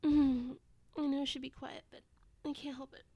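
A woman playing an amorous, playful lover in an intimate adult audio roleplay, breathy and often slipping into whispers. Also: a little high, steady tone, unhurried, highs cut off, exaggerated voice. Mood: aroused, breathless.

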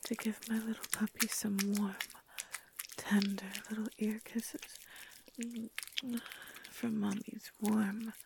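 A woman voicing a doting, motherly female creature with wings and a tail, in a soft, breathy, soothing whispered ASMR roleplay. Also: medium pitch, level tone, slow, noisy background. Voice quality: breathy, husky.

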